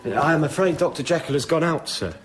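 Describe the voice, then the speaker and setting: An actor playing a deferential, discreet butler in a radio drama, formal and hesitant. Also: male voice, on the high side, expressive, brisk, close to an everyday voice. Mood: deferential, guarded.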